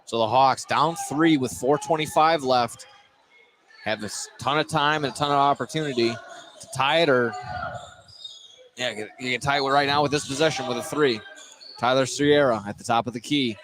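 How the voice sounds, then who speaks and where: A man's voice: mid-range voice, normal speed. Man calling live basketball over gym noise. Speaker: play-by-play radio sports commentator. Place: indoor gymnasium during a basketball game. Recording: noisy live gym broadcast. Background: loud gym ambience, bouncing basketball.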